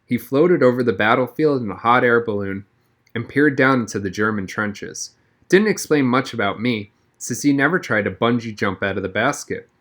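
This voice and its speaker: A man's voice: medium pitch, expressive, moderate pace, a bit bright. A man reading a children's novel aloud as a first-person audiobook narrator.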